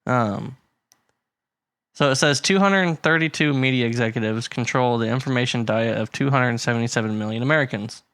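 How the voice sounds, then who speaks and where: A man's voice: medium pitch, normal speed, fairly bright tone, clear, resonant tone, dry recording. A man co-hosting a casual podcast chat, reading figures aloud and laughing often. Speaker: podcast co-host.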